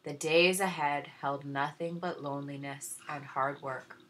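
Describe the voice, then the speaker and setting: A woman reads a children's story aloud like a teacher to young pupils. Her voice is low and a little raspy, with a forceful bright edge. The narration is expressive. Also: a little slow.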